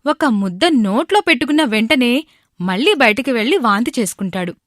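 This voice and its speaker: A woman's voice: fairly high pitch, animated, brisk, no echo, clean studio sound. A woman narrating a scripted moral story.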